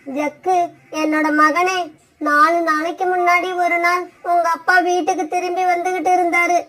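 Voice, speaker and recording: Woman voicing a plaintive, very high-pitched mother crow. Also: level tone, moderate pace, a bit bright. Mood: grieving. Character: tearful old widowed mother crow, betrayed and remorseful. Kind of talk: Tamil animated bird fable dialogue.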